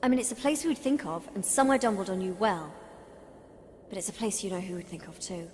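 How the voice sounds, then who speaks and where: A woman's voice: mid-range voice, quick pace, a little hiss or hum, natural voice. A young woman playing an earnest, anxious young witch in a video game cutscene, speaking carefully and thoughtfully. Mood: wary, thoughtful. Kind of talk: dramatic game cutscene acting. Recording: loud music over the voice.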